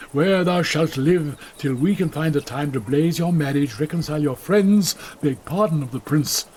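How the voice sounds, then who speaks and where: A man's voice: a little high, a little mellow, slight background noise, natural voice. An actor playing a stern, fatherly old friar, declaiming precisely in a classical radio Shakespeare drama.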